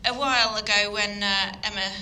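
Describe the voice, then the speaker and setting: Yorkshire woman giving a sermon, earnest, lots of ums, really bright sharp voice. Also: medium pitch, fairly even intonation, moderate pace, a little hiss or hum. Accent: Yorkshire English. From Yorkshire, England. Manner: earnest, hesitant with frequent ums. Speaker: guest speaker. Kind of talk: evening sermon.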